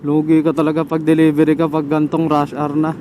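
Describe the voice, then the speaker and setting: Higher-voiced Filipino man chatting over road noise. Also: completely flat delivery, a little fast, mellow. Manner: informal, muttering to himself, swearing. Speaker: motorcycle vlogger. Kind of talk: casual motovlog chat while riding. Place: outdoors on a motorcycle in traffic. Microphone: helmet intercom microphone. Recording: noisy helmet intercom mic, traffic.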